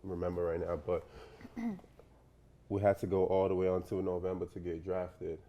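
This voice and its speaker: A young man from Nigeria, a guest in a casual interview, talking in a relaxed, rambling way with lots of fillers and "you know". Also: low voice, normal speed, muffled recording. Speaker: professional basketball player, guest.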